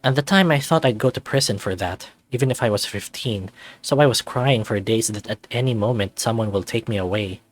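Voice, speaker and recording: A man's voice: fairly low pitch, a little fast, dry recording, natural voice. A man voicing a sarcastic, anxious young furry student in a visual novel, narrating in a wry, self-deprecating, conversational way. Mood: cocky storytelling. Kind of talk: visual novel character voice-over.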